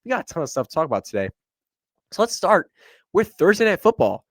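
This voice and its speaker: A man's voice: a little high, no echo, clean studio sound. A young man hosting a solo sports podcast, stuffed up and a little nasal, talking with energy in a casual, rambling way. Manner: energetic, conversational, quick asides. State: congested, stuffy nose. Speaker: podcast host.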